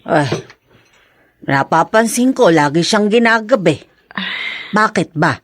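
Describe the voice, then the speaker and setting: A woman's voice: mid-range voice, expressive, slow, no echo, clean studio sound. An actress playing a protective older Filipino mother in a radio drama, comforting, with concerned exclamations. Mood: concerned, indignant.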